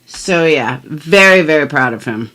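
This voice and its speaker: Lively, bright-voiced middle-aged woman vlogger. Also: low voice, unhurried. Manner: emphatic, blunt, swears freely. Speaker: commentary vlogger. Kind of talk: casual chat and mock-dramatic reading of legal documents.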